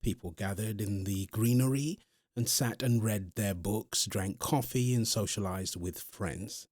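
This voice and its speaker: A man's voice: fairly low pitch, a little slow, a little mellow, dry recording, studio quality. A man playing an earnest centuries-old vampire in love, polite and insistent, in an ASMR-style audio roleplay. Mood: explaining, firm. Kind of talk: ASMR audio roleplay, one-sided interview.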